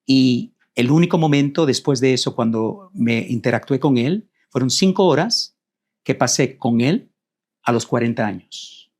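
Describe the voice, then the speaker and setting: A man's voice: medium pitch, a little slow, a little mellow. Man telling his story. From Puerto Rico. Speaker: guest, co-author. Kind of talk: personal storytelling in conversation.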